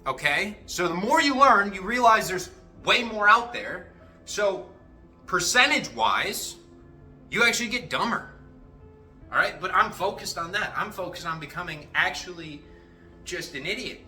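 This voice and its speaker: A young man giving a casual, rambling motivational talk to his viewers, cursing freely and animated. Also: high voice, moderate pace. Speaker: motivational vlogger. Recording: echoey room, faint music.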